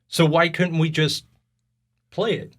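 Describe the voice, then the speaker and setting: A man's voice: a little high, flat delivery, slow, fairly bright tone. A man talking casually and with strong opinions as a podcast host discussing video games, telling stories informally.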